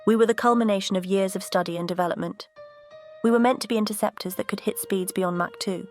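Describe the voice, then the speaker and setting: A woman voicing a composed vintage aircraft character, telling her story in a measured, earnest cartoon dialogue style. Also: mid-range voice, faint music behind, natural voice. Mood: earnest recounting. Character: composed, bitter but grateful female vintage jet museum exhibit.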